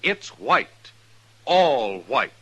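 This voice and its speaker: A man reading a 1950s radio advertisement as announcer, with a husky voice and emphatic salesmanship. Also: mid-range voice, sing-song, extremely slow, sharp tone. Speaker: commercial announcer.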